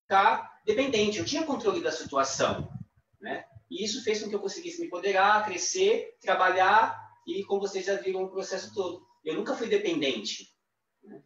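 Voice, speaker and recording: Brazilian man interpreter, halting speech, fairly high voice, dull lo-fi stream audio. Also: expressive, fairly bright tone. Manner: halting, many restarts and tag questions. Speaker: sign language interpreter. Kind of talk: voicing a signed talk in first person. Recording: distant echoey lo-fi livestream audio.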